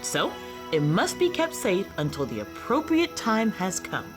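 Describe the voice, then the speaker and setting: Woman playing a sweepingly expressive fae goddess. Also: mid-range voice, a little slow, over music. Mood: grand, proud. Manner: declamatory, stately, self-assured. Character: proud regal fae goddess of fire and craft. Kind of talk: radio play acting.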